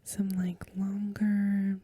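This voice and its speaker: Breathy, very dark whispering ASMR woman. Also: medium pitch, completely flat delivery, dry recording, studio quality. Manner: gentle, unhurried, literary narration. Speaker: ASMR host reading a short story aloud. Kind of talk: soft-spoken ASMR bedtime story reading. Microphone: close microphone.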